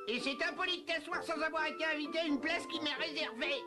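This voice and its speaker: A woman voicing a rude, mischievous creature with a creaky voice and a brusque, taunting manner in a French cartoon. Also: high voice, fast talker, close to an everyday voice. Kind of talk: French cartoon dub acting. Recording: loud music behind the voice.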